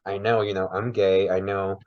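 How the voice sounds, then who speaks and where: A man's voice: deep voice, moderate pace, muffled recording. Man with a Mandarin Chinese accent. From China. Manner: lively, searching for words. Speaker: podcast guest. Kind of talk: casual interview chat.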